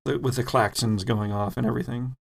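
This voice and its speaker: A man narrating a tabletop horror role-playing game as game master, setting scenes deliberately with pauses mid-phrase. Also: mid-range voice, fairly even intonation, vocal fry.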